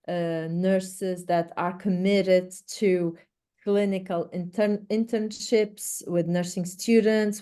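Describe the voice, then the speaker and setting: A woman from Portugal, a native Portuguese speaker with a Portuguese accent, presents an instructional webinar in a halting, hesitant way with many pauses. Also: fairly low pitch, mellow, clear, resonant tone. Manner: hesitant, halting delivery with frequent pauses and repeated words. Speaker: research director presenting a webinar.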